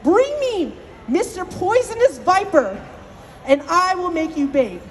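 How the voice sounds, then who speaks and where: A woman's voice: very high-pitched, sing-song, measured pace, slight background noise, full character voice. A woman voicing a mighty but lazy male god of animals in a storybook tale, weary and commanding. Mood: dismissive, commanding.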